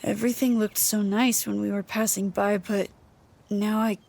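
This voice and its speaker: A woman's voice: mid-range voice, level tone, normal speed, vocal fry, darkish tone. A woman playing a young, anxious and perceptive traveler in an audio drama, speaking in a hesitant, earnest, naturalistic way. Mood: uneasy, hushed.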